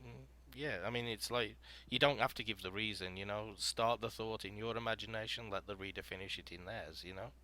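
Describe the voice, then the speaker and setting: A man with a deep voice and a bright, forward tone hosts a writing podcast panel. He explains story prompts casually and narrates scenes aloud, leaning often on "you know" and other fillers. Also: steady tone, fairly quick, hardly any pauses.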